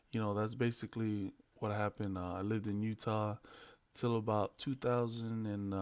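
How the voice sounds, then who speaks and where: Man, late twenties, lowish pitch, flat intonation, casual vlog chat, laid-back, hesitant, rambling, dry sound. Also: dry recording. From American Samoa and Hawaii. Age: late 20s. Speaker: vlogger.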